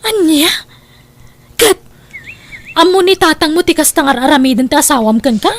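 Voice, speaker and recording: High-voiced fretful mother, acted by a woman. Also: lively intonation, moderate pace. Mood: worried, reproachful. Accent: Ilocano. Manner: fretful, admonishing. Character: worried, reproachful mother of a grown daughter. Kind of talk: audio drama acting.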